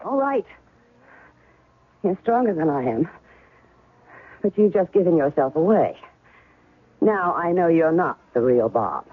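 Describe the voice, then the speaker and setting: An actress playing a shrewd woman caught up in spy intrigue, asking quick, sharp questions and narrating her own story. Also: fairly low pitch, very animated, breathy edge, dry recording, full character voice. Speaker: narrator and heroine. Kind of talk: 1970s radio drama acting. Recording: narrow 1970s radio broadcast, faint music.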